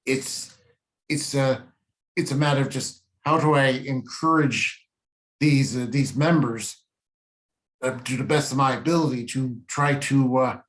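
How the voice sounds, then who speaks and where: A man's voice: medium pitch, measured pace, a bit bright. A man hesitantly asking a question in a club meeting on a video call, stumbling over fillers. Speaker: club member.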